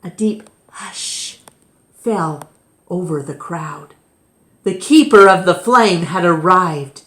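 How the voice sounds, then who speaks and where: Slow, echoey woman reading a children's story. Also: on the low side, a bit bright. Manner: deliberate, dramatic phrasing. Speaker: storybook reader, storytime host. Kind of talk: expressive read-aloud of a children's story. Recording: echoey room.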